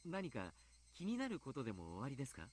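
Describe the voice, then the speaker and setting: An actor playing a jovial, kindly adult coach in a visual novel, polite, chatty and playfully teasing. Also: male voice, mid-range voice, huge pitch swings, very fast, a little hiss or hum. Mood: gently concerned. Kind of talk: Japanese visual novel voice acting.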